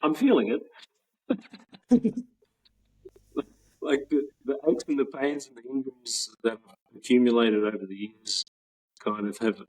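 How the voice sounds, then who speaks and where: A man around forty with an Australian accent, chatting as a guest on a video call in a relaxed, rambling way with frequent restarts. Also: fairly low pitch, husky, low-quality recording. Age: 40s. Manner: relaxed, rambling with many restarts and soft laughs. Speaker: guest, patent office trainer. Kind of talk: casual interview chat.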